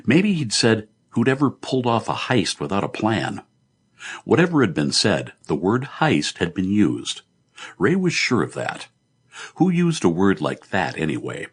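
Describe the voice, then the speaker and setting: A man with a very low, husky voice narrates literary fiction. His delivery is sardonic and expressive, swinging widely in pitch as he tells the story. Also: measured pace. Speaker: audiobook narrator.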